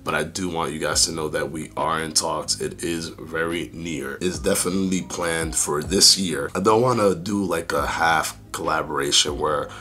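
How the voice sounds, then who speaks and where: A young man with a hoarse, raspy voice, rambling casually through a fitness vlog update with many fillers. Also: very deep voice, fairly even intonation, moderate pace, few pauses, bright, forceful tone, soft background music. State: hoarse, losing voice. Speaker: fitness YouTuber.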